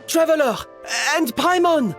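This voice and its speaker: A man's voice: extremely high pitch, lively intonation, unhurried, over music. A man voicing an encouraging, philosophical male restaurant staffer in a video game. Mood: surprised.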